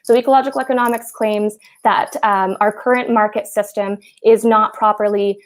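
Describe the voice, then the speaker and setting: A young woman with a mid-pitched voice lays out her points clearly, with only a few fillers along the way. She speaks over a video call and keeps an even, explanatory tone. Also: moderate pace. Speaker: researcher guest. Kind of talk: explaining ideas in a discussion.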